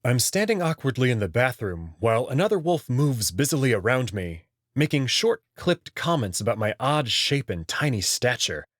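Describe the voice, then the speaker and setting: A man's voice: medium pitch, sing-song, moderate pace, hardly any pauses, fairly bright tone, no echo, clean studio sound. A man narrating a scripted story expressively as the host of a visual novel reading channel.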